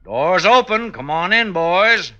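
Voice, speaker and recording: An actor playing a bold, goading American sheriff in an old-time radio western, with a folksy, insistent way of talking. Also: male voice, high-pitched, lively intonation, slow, sharp tone, full character voice. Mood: bold, coaxing. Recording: dull vintage radio recording, faint music.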